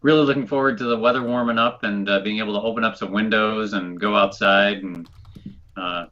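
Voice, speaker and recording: A man's voice: lowish voice, fairly even intonation, brisk, bright, forceful tone. A man co-hosting a talk show in casual chat, with a nasal, congested voice, on a dull, lo-fi recording. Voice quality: nasal, stuffed-up. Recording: dull, lo-fi sound.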